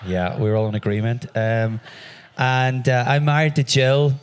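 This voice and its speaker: Northern irish guy in his 40s, talks super fast and jokey, church interview. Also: medium pitch, a little hiss or hum. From Northern Ireland. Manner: fast-talking, animated and jokey. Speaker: visiting worship musician.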